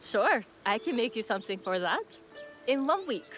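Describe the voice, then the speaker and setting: An actress playing a proud, practical kimono seller with a matter-of-fact sales manner in a video game. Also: female voice, on the high side, very animated, moderate pace, fairly bright tone, faint music behind. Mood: proud, blunt. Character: proud, practical female kimono seller, blunt then obliging.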